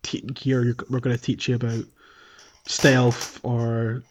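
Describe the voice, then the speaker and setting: A man's voice: medium pitch, monotone, measured pace. A man hosting a podcast, talking casually through a video game playthrough. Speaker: podcast host. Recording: dull, slightly lo-fi audio.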